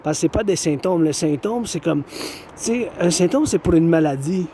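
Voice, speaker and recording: Québécois man, warm higher voice, repetitive outdoor monologue, light traffic behind. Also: lively intonation, moderate pace. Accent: Québécois French. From Quebec. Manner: repetitive, circling back to phrases. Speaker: vlogger. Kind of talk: rambling monologue to camera.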